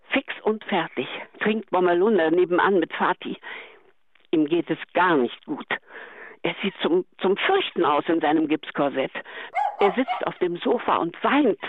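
Radio drama mother, older, anxious and unhurried, thin telephone answering-machine sound. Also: lowish voice, expressive. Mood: concerned, coaxing. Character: worried, pleading mother of a grown daughter. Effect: answering machine message. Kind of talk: German radio drama acting. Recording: tinny, noisy phone-line recording.

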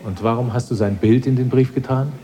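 An actor playing an anxious, dutiful husband in a radio drama, speaking earnestly and with urgency. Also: male voice, mid-range voice, steady tone, brisk, dark tone, natural voice. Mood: suspicious, urgent. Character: anxious, dutiful husband pleading for his wife.

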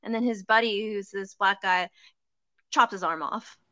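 A woman's voice: medium pitch, sharp tone, no echo. A young woman recapping TV plots in frank, casual talk full of "like" fillers. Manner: quick, frank storytelling with "like" fillers. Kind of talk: casual chat about TV shows. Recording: muffled lo-fi, dry.

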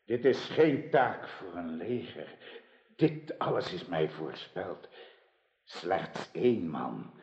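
An older actor playing a grieving, dignified old king in a radio drama, with a solemn, halting delivery. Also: low-pitched, darkish tone, exaggerated voice. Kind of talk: theatrical radio drama acting. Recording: dull, echoey radio play recording.

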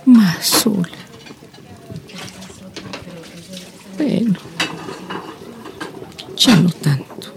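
A woman playing a slow, creaky, lonely old grandmother. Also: a little high, warm tone, slight background noise, full character voice. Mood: melancholy, resigned. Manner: slow reflective storytelling, sighing interjections, long pauses. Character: lonely old blind Mexican village grandmother, wistful and resigned. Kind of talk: radio drama monologue.

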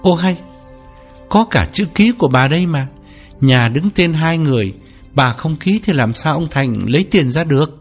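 Give me a man playing a middle-aged agent who smooths things over with careful, deferential explanations. His voice rises and falls widely as he talks. The recording is a little dull, with faint music in the background. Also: lowish voice, big pitch swings, normal speed. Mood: placating. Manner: polite, hedging, explaining carefully. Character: middle-aged male property agent, nervous and placating.

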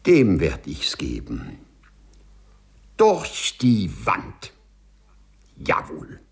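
A man's voice: very deep voice, huge pitch swings, very slow, creaky, husky, heavily acted. A man playing a meek office clerk turned spiteful avenger in a 1950s German radio play, theatrical and mock-servile. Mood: scheming glee.

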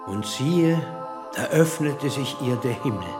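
A man playing a solemn reader who intones gospel verses in a drawn-out way in a radio play. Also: medium pitch, animated, natural voice. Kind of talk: scripture reading in a radio play. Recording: echoey sound under loud music.

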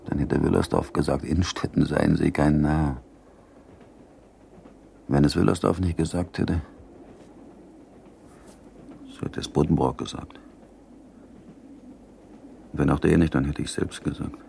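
A middle-aged Prussian baron in a radio drama, brooding and bound by duty. His voice is very deep and dark with a creaky edge, and he weighs each phrase deliberately. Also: fairly even intonation, a little hiss or hum, exaggerated voice. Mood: brooding, reasoning. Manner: measured, reflective, deliberate. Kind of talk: German radio play acting, interior monologue.

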